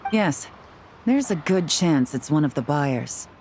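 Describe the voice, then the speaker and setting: An actress playing a cool, shrewd, self-assured female agent in a video game, composed and measured. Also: low-pitched, animated, very noisy, heavily acted. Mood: knowing. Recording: music behind the voice.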